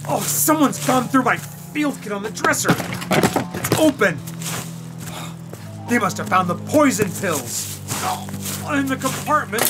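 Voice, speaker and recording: A man's voice: very high-pitched, expressive, a little slow, pauses often, loud music behind the voice. A man playing a suave, cocky CIA agent in an audio drama. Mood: dizzy, panicked. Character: suave, cocky male CIA agent romancing his mistress.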